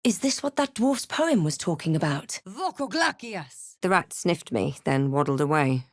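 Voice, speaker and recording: A woman playing a wry, wary halfling adventurer in a fantasy video game, with a measured, dramatic delivery. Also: lowish voice, very animated, no echo, studio quality. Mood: incanting. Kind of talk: scripted video game voice lines and narration.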